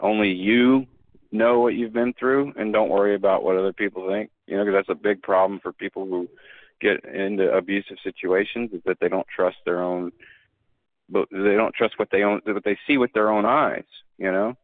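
A man's voice: on the low side, fairly even intonation, moderate pace, no echo. A man talking as a podcast guest, earnest and emphatic, often restarting his sentences. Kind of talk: casual conversation. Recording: dry phone-like line.

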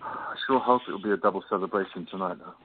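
A man's voice: low-pitched, fast talker. A man playing a devoted fiancé who can get testy, in an amateur radio play. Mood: pleased. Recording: tinny, phone-like sound.

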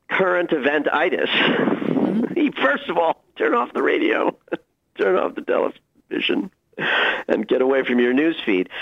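A man's voice: on the high side, lo-fi sound. A man speaking as a guest astrologer in a conversational interview, giving advice with enthusiastic emphasis.

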